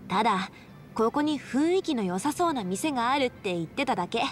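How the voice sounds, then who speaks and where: A woman acting a friendly, modest young nightclub hostess in a Japanese game dub, with expressive, casual feminine speech. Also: fairly high pitch, fairly quick, slight background noise, natural voice.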